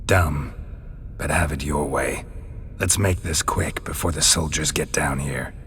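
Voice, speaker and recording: An actor playing a weary middle-aged witcher who speaks in terse, dry, deadpan lines, with a game score behind him. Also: very deep voice, measured pace, a little hiss or hum, full character voice. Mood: stern. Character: gruff, weary middle-aged male witcher monster hunter. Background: game music score.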